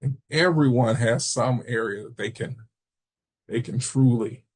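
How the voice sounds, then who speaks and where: An American man from Chicago giving an informal training webinar, explaining haltingly with personal asides. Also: mid-range voice, husky. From Chicago, United States. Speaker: webinar presenter, substance misuse prevention trainer.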